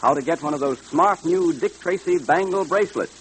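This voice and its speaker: A man's voice: on the high side, brisk, slight background noise, poor audio. An American man announcing and selling to children in 1930s radio style, with a hearty salesman's delivery.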